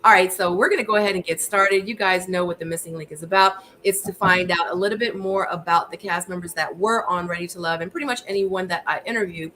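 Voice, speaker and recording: A woman's voice: on the low side, quick pace, hardly any pauses, sharp tone. A woman hosting a live streamed interview show, chatty and upbeat as she greets her chat. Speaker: livestream interview host. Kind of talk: casual livestream chat.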